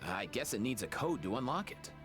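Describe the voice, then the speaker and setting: Man playing an earnest, very animated young vet. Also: medium pitch, brisk, close to an everyday voice. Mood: curious. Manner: explanatory, cautious. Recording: game music behind the voice.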